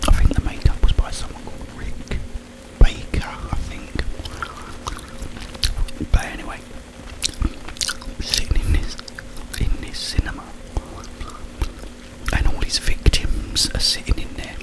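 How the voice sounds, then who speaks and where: A man's voice: measured pace, long pauses, poor audio. Whispering man, ASMR ramble. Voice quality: breathy unvoiced whisper. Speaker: ASMR video creator and host. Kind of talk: whispered ASMR ramble retelling a film plot.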